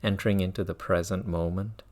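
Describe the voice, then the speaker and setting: A man guiding a mindfulness meditation as a teacher, speaking in measured phrases with long pauses and repetition. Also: deep voice, fairly even intonation, darkish tone.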